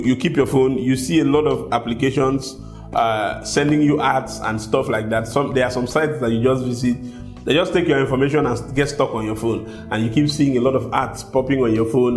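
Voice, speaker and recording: A man from Nigeria with a Nigerian accent, talking casually to his viewers as a tech vlogger and sometimes repeating words while he thinks. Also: medium pitch. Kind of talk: casual talk to camera. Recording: echoey room with soft guitar music behind.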